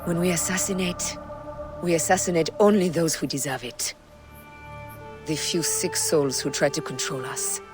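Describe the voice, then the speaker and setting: A woman acts out a cool, determined Egyptian assassin leader from a story game. Her voice is deep for a woman, and she speaks gravely and deliberately over faint music. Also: fairly even intonation, natural voice. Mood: steely, solemn. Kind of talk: dramatic video game cutscene acting.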